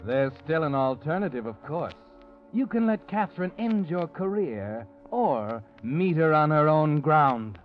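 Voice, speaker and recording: Man narrating a spooky old radio thriller, kinda high voice, muffled sound, music underneath. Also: big pitch swings, measured pace. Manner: ominous, insinuating. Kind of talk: second-person radio drama narration.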